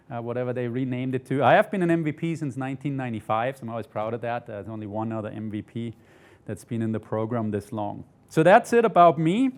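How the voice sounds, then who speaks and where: Middle aged guy from austria doing a casual tech talk, normal pitch, chatty, says right? a lot. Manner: conversational, often tags statements with "right?". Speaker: conference presenter, software developer. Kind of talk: informal technical presentation. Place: indoor studio stage with desk and laptop.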